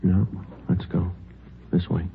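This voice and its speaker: An actor playing a calm, terse police detective with clipped deadpan delivery in a 1950s radio crime drama. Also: male voice, sits low, takes it slowly, vocal fry, full character voice. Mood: wary, low. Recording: tinny, noisy 1950 radio recording.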